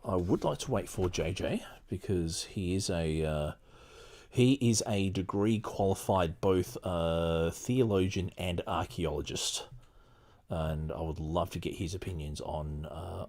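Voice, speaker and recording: A man's voice: low voice, a little mellow. A middle-aged man hosting a podcast, chatting casually and reading aloud from a book. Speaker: podcast host.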